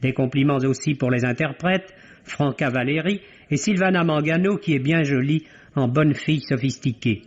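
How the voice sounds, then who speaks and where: A man's voice: fairly high pitch, moderate pace. A man delivering a prepared film review as a radio critic in an articulate, literary and wryly ironic way, in 1950s French radio style. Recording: dull, band-limited vintage radio recording.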